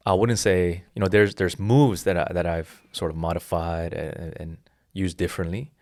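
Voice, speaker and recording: A man's voice: low voice, fairly even intonation, normal speed, a little mellow, dry recording, studio quality. A man in his thirties, a jiu-jitsu instructor, explaining his philosophy earnestly in a casual interview with frequent restarts. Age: 30s.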